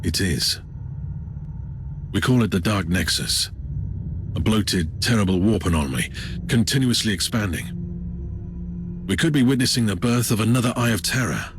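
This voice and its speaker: A low-voiced imperial commander speaks over clear ambient noise in a video game. He is grave, imperious and portentous, carrying heavy authority. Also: lively intonation, moderate pace, long pauses. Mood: grave warning.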